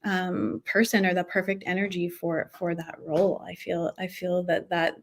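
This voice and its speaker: A woman with a soft voice chatting casually about acting as a coach, hesitant and full of fillers. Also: on the low side, level tone, moderate pace. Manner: hesitant, many fillers and restarts. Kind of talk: casual conversational chat.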